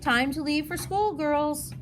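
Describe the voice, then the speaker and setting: A woman voicing a gentle, caring mother of young girls in a storybook. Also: sits high, unhurried, a little hiss or hum, voice pushed up. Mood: brisk, concerned.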